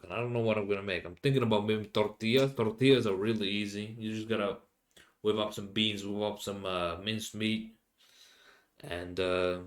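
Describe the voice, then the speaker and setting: Halting young Finnish man, lowish voice. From Finland. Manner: halting, many fillers and restarts, searching for English words. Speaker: podcast host. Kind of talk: casual solo rambling.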